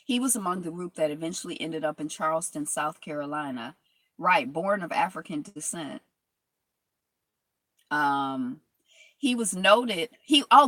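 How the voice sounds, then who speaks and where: A woman's voice: lowish voice, a bit bright, dry recording. A woman vlogger reading from a history text and rambling through reflective asides, with many fillers and restarts.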